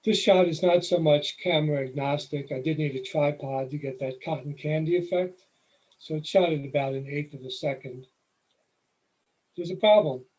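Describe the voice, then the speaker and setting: A middle-aged man with a New York accent, explaining nature photography while walking through his slides in a relaxed, explanatory way. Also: a little high, moderate pace, rough recording. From New York City. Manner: relaxed, explanatory, with occasional fillers. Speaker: photography presenter. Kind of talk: slide presentation lecture.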